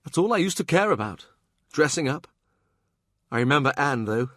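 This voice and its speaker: A man's voice: fairly high pitch, big pitch swings, normal speed, fairly bright tone, no room sound, clean studio sound, voice pushed up. A man voicing a young widowed noblewoman in an audiobook, fretful, confiding and wistful. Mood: aggrieved, weary.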